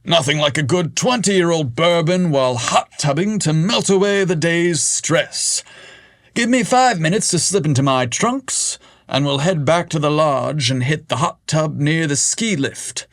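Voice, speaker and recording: A man voicing a brash, eager adult man who talks loudly and theatrically. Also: fairly high pitch, expressive, measured pace, dry recording, studio quality, pitched above the performer's own voice. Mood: upbeat. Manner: loud, theatrical, exasperated.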